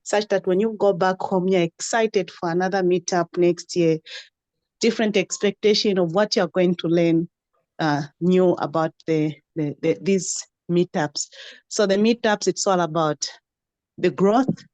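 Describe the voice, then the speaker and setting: A woman with a slightly low voice and a dry, close sound speaks earnestly. She is encouraging, repeating short phrases to build her point as a mentor on a panel. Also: measured pace, a little mellow, no room sound. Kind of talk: earnest spoken testimony on a group call.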